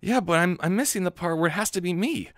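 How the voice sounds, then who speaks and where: A man voicing a reluctant, aloof junior high school boy. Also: fast, a bit bright, no echo, clean studio sound.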